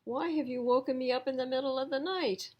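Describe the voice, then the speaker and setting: A woman's voice: high-pitched, fairly even intonation, brisk, dull audio, pitched above the performer's own voice. A woman voicing a grumbling, skeptical male pig in a children's storybook, dismissive in manner. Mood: grumpy.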